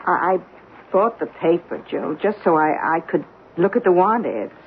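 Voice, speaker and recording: An actress playing a hopeful working-class wife who coaxes earnestly in a 1970s radio drama. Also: female voice, fairly low pitch, normal speed, natural voice. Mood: coaxing, earnest. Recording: phone-like, noisy 1970s radio broadcast recording.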